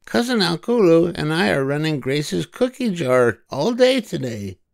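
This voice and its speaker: An older man voicing a cheerful, literal-minded young maid in a high, earnest sing-song. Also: sing-song, unhurried, creaky, dry recording, studio quality, full character voice.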